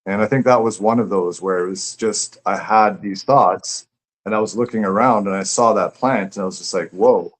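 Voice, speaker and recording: Low-voiced man, reflective author in conversation. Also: low-quality recording. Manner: reflective, halting, searching for words. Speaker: poet and author being interviewed. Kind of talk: casual conversation about own writing. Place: live online stream.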